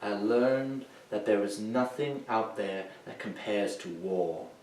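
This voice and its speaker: A young man acting a troubled, grieving war veteran in a theatrical monologue, halting and emphatic, swinging between hushed and loud delivery. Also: lowish voice, a little hiss or hum. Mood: subdued, haunted. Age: teenager or young adult. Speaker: high school dramatic interpretation competitor. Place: echoey indoor room, recorded from far off. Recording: echoey room, distant microphone.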